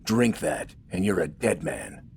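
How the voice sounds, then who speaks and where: A man's voice: low-pitched, measured pace, gravelly, a little mellow, a little hiss or hum. A man voicing a curt, authoritarian young militia corporal with a terse, commanding and menacing manner. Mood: quiet threat.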